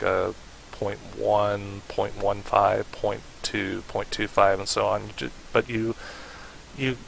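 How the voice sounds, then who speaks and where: A man narrating an unscripted software tutorial in an informal, hesitant way, as if thinking aloud. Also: on the low side, gravelly, rough recording. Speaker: tutorial presenter. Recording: hissy home voice-over recording.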